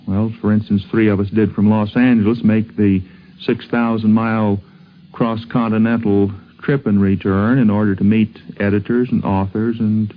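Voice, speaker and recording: An American man giving an interview as an enthusiast, speaking in a deliberate, earnest, rather formal way. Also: lowish voice, level tone. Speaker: science fiction fan being interviewed. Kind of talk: interview recollection. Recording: thin phone-like 1950s radio recording.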